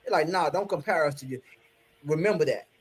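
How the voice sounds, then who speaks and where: A man with a somewhat high voice speaks bluntly on an online panel. He has a preachy manner and repeats phrases to drive his points home. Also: a little fast. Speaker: panel member.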